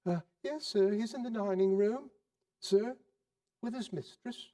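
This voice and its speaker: A man's voice: sits high, a little slow, mellow, voice pushed up. A man voicing a polite, flustered servant girl in a theatrical storybook reading, deferential and hesitant. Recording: distant microphone.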